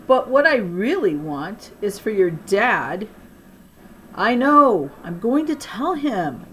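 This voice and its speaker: A woman's voice: mid-range voice, very animated, takes it slowly, fairly bright tone. An earnest young schoolboy , as a woman storyteller plays him, speaks with pleading, emphatic insistence in a children's audiobook. The voice is raised a little in places, over a noisy recording. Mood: eager, pleading.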